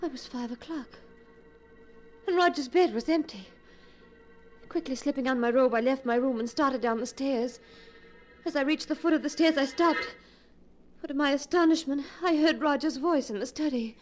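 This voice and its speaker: High-voiced anxious wife played by an actress, 1940s radio. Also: female voice, moderate pace, close to an everyday voice. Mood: suspenseful. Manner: theatrical, earnest. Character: anxious, devoted wife narrating an eerie mystery. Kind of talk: 1940s radio melodrama acting. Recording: vintage 1940s radio recording with music.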